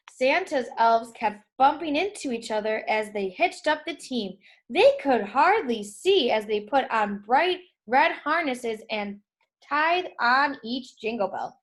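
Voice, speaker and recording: A woman with a high-pitched voice narrates a children's storybook. She takes her time over each phrase, keeping it simple and deliberate for young listeners. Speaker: read-aloud storyteller for children.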